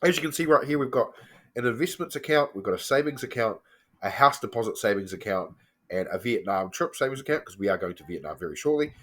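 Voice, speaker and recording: A man with a New Zealand accent who has a cold and sounds slightly croaky, casually walking through his finance app on screen with lots of ums and self-corrections. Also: mid-range voice, expressive, fast talker. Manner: chatty, loose, frequent ums and self-corrections. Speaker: personal finance vlogger. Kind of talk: casual screen-share walkthrough.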